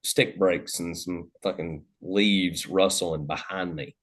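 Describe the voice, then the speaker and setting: A man, a podcast host telling a hunting story in a loud, animated, cursing way. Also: deep voice, takes it slowly. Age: 30s. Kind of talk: casual storytelling chat.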